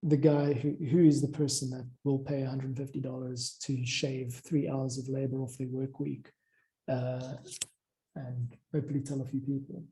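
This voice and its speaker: A man's voice: medium pitch, level tone, normal speed, dark tone, slight background noise, rough recording. A man in an informal work conversation, talking as a music creator.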